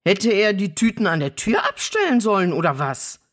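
A woman's voice: medium pitch, sing-song, moderate pace. A woman playing a friendly, chatty neighbour in a children's audio drama. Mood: cheerful, defensive.

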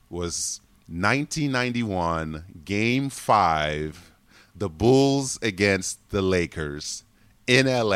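A man talks in a deep, low voice. He tells his stories with animation and a ready laugh. The sound is close and dry, with no room around it. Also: clean tone, dry recording. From Congo. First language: French. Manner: animated, laughs often while telling stories. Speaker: guest. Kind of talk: casual interview storytelling.

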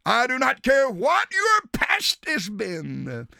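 A man preaching a fiery radio sermon in a very high, gravelly voice that swoops up and down. He speaks slowly and stretches his words, often breaking into shouts and calling out to the listener. The sound is close and dry. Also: wildly swooping pitch, no room sound. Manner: repeats appeals like "dear sinner" and "my friend". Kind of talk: evangelistic sermon.

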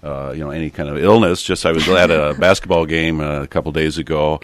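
A man with an American accent and a gravelly voice, talking reflectively and haltingly as a bishop hosting a radio show. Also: very deep voice, fairly even intonation, dry recording. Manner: halting, meandering with frequent hesitations. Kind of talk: reflective, conversational radio talk.

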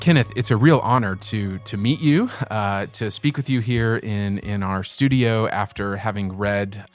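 A man's voice: on the low side, measured pace, faint music behind. A man hosting a podcast, giving introductions and interviewing a guest in a conversational, presenter-like style. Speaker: podcast host.

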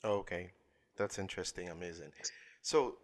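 Deep-voiced male podcast host. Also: normal speed. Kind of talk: podcast interview.

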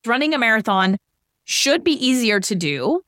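A woman's voice: on the high side, very animated, normal speed, sharp tone, no room sound. Dry, explanatory woman in her late thirties. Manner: explanatory, gives examples. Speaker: podcast co-host. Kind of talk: casual chat, explaining ideas.

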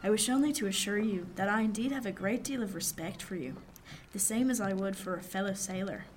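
A woman playing a self-possessed, formal seafaring man in a period radio drama, speaking with deliberate care. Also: mid-range voice, slight background noise, natural voice. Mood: measured, respectful.

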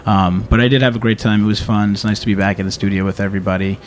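A man hosting a radio show in a studio, chatting casually in a halting, rambling way. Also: lowish voice, little pitch movement, slight background noise. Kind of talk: casual talk show chat.

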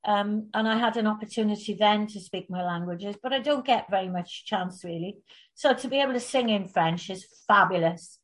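A woman's voice: mid-range voice, normal speed. Welsh woman in her sixties, storytelling guest. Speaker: guest, performer and coach. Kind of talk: conversational interview.

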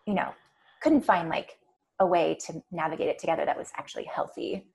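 A soft-spoken woman, a podcast guest chatting casually and rambling with many fillers. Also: sits low, brisk, vocal fry, slightly rough. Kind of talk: casual chat.

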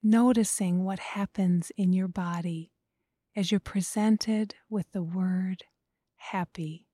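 A woman guiding a breathing meditation, speaking slowly and softly and often in a whisper. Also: medium pitch, breathy edge, no echo, studio quality. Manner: slow, soft, often whispered. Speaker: meditation guide.

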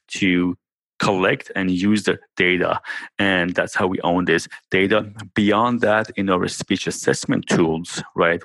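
A man's voice: sits low, gravelly, fairly bright tone, dry recording. A man speaking English with a non-native accent, a startup founder explaining his technology in conversational interview answers, often saying "basically" and "right".

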